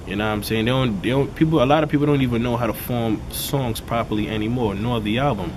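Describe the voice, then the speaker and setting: A man with a raspy, dark voice, a rapper talking casually in an interview and peppering his talk with "you know what I'm saying". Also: medium pitch, fast talker, background noise. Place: outdoors in a city neighborhood.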